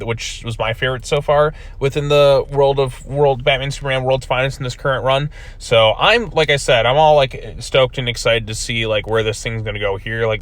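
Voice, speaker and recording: A man's voice: mid-range voice, a bit bright. A man giving a casual video review of a comic book, rambling with many fillers, his voice still recovering from being lost.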